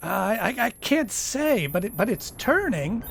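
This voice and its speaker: Woman playing hesitant, stammering radar sergeant, lively pitch. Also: a little slow, gravelly, breathy edge, exaggerated voice. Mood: nervous, amazed. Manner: stammering, tentative, deferential. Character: hesitant, loyal military sergeant at radar screen, Charlie. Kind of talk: live radio drama acting.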